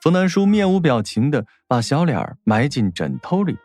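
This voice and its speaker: A male narrator reads a scripted story expressively. His voice sits a bit high and moves with lots of intonation, over faint background music. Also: sing-song, normal speed, a little mellow, no room sound.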